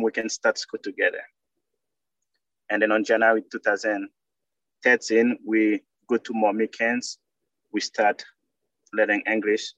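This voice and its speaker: A man in his early thirties with a Haitian accent talks earnestly in simple, halting English. His voice sits a bit low. The sound is dull and dry, like a remote call. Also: a bit bright, no room sound. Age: 30s. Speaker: doctoral student guest. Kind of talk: podcast interview.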